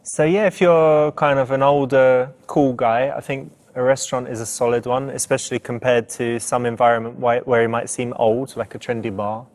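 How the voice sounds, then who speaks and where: Medium-pitched man giving casual dating advice. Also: fairly even intonation, normal speed, few pauses, a little mellow. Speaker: dating coach presenter.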